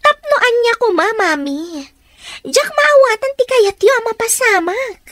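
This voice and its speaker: A spoiled young woman in an Ilocano radio drama, whiny and childlike. Her voice is extremely high and swoops widely, in a dry recording. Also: expressive, a little slow, a bit bright, dry recording, heavily acted. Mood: puzzled, whiny.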